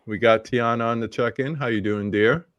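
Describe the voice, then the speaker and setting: A man's voice: medium pitch, steady tone, normal speed, clear, resonant tone, no echo, clean studio sound. A middle-aged man hosting a live roundtable stream, casually greeting viewers from the chat. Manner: laid-back, shouting out chat viewers. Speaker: host.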